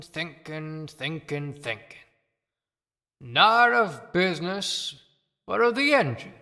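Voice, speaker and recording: A woman playing a wistful, resigned small-town watchmaker and failed inventor, brooding and halting in an audio play. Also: low-pitched, a bit bright, full character voice. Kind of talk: dramatic monologue in an audio play. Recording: long echoing hall reverb.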